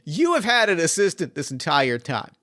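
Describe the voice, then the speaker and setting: A man narrating a tabletop mystery game as its game master, his voice sitting a little high. He rambles through his thoughts with plenty of fillers and restarts. The recording is dry and close, with no room sound. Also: sing-song, moderate pace, sharp tone, no room sound.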